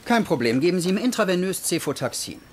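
An actor playing an upbeat, easygoing hospital doctor in a dubbed TV comedy, breezy and reassuring. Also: male voice, high voice, big pitch swings, fast, a little hiss or hum. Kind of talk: German TV comedy dub acting.

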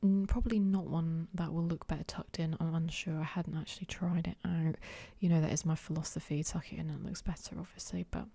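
A woman whispers right into a close microphone for an ASMR try-on, her voice breathy and mellow in a dry, deadened space. She sits a little low in pitch and keeps trailing off, hesitant and unsure, with plenty of soft ums. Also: flat delivery, brisk, hardly any pauses, no room sound. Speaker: ASMR creator.

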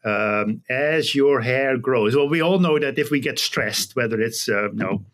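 A middle-aged man with a Dutch accent talks as an expert guest in an interview. His pitch is medium, and he explains things haltingly, doubling back on words as he goes. It sounds like a video call from a small office. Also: fairly bright tone. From Netherlands. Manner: hesitant, halting, often repeating words. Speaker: guest, animal genetics researcher. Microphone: computer video call.

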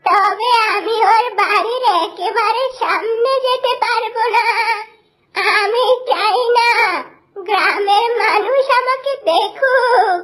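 Slow, high-pitched magical horse. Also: female voice, fairly bright tone, full character voice. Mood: gentle, helpful. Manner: slow, drawn-out delivery. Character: magical flying horse, gentle loyal helper. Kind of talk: cartoon fairy-tale acting.

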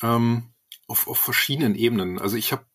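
A man's voice: mid-range voice, fairly quick, fairly bright tone, breathy edge. A man in his forties from the Ruhr area with a light Ruhr accent, answering interview questions in a hesitant, casual way. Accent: Ruhr German. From Essen, Germany. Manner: hesitant, many ums and restarts. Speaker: podcast guest, writer and project manager.

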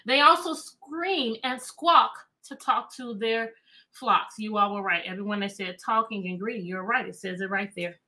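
A middle-aged American woman reading aloud to children as a teacher, animated and chatty, often asking questions. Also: mid-range voice, moderate pace, low-quality recording. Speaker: teacher and read-aloud host. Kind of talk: interactive children's read-aloud lesson. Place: indoor room with bookshelves.

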